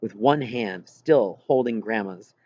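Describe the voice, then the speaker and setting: Male narrator for fiction read-aloud: breathy, grainy tone, expressive phrasing, mildly lo-fi sound. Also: lowish voice, takes it slowly, no room sound. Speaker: audiobook narrator. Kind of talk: scripted storybook narration.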